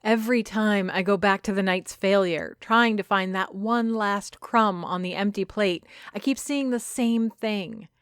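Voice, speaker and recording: A woman narrating a first-person story from a script, reflective and measured, with dramatic phrasing. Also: medium pitch, clear, resonant tone, no echo, clean studio sound. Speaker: narrator of a fiction podcast.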